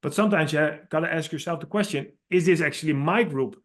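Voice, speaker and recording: A man from the Netherlands speaks English with a clear Dutch accent and a slightly high voice. He is a guest on a podcast, laying out his thinking in an earnest, explanatory way. His phrasing is a little non-native. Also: fast talker. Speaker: author and guest. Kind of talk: podcast interview.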